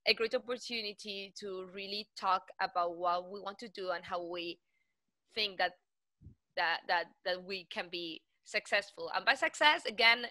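A woman's voice: medium pitch, normal speed, sharp tone, no room sound. A woman in her thirties from Spain, co-hosting an online panel. She talks with enthusiasm, laughs easily and often restarts her sentences. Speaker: co-host and postdoc. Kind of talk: casual online panel chat. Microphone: video call.

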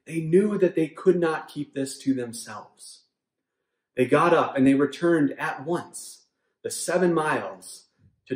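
A man in his thirties preaches from a room at home in an earnest, measured way, his voice a little higher than most men's. The room is roomy and echoey around him. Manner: earnest, measured, softening to a hushed prayer. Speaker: pastor. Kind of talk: sermon with closing prayer. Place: home room with bookshelves, indoors.

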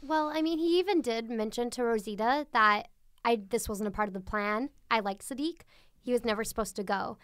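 Clear-voiced woman co-hosting a podcast. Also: a little high, fairly quick, fairly bright tone, no echo, clean studio sound. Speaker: guest co-host. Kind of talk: casual podcast chat.

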